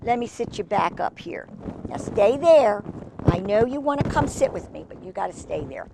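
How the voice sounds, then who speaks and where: Expressive woman reading to children. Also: mid-range voice, moderate pace, gravelly, background noise. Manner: gushing, expressive, exclaiming over pictures. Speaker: children's storytime host. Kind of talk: storybook read-aloud with chatty asides to children.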